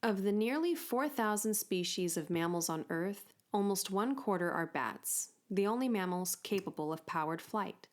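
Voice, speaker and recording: A woman reading a children's book aloud as an expressive storytime narrator. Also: mid-range voice, normal speed, hardly any pauses.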